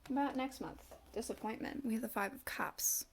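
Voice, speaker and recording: A woman's voice: fairly high pitch, animated, moderate pace, vocal fry, slightly rough. A woman doing a casual tarot reading to camera, a bit stuffed up from a cold and musing aloud as she turns the cards. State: stuffed up, slight cough. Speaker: tarot reader.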